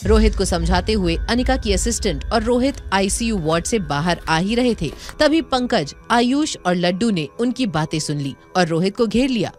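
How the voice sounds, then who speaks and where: Female narrator for a scripted audio drama, mid-pitched voice, light music bed. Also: animated, normal speed, hardly any pauses.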